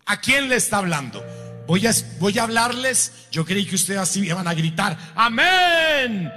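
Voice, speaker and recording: A man's voice: high-pitched, big pitch swings. Fervent male preacher in echoing hall. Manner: slow, building to fervent shouted repetition. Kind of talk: sermon to a congregation. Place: church hall with congregation. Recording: long hall reverb with faint music.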